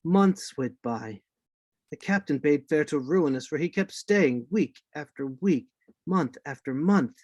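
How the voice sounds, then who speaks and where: A man playing a grave narrator recalling his boyhood adventure, with measured dramatic radio play delivery. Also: on the high side, animated, darkish tone, no echo. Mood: grave, reminiscent. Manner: measured, literary storytelling.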